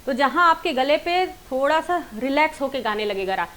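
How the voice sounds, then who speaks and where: High-voiced Indian woman teaching singing. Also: expressive, normal speed, a bit bright. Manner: instructive, addresses viewers directly, mixes in English terms. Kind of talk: online singing lesson, informal explanation.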